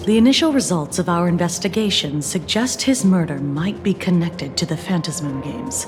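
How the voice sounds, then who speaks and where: A woman voicing a composed, formal investigator in a video game, polite and measured as she gives a warning, with game music behind. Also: on the low side, animated, natural voice. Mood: cool.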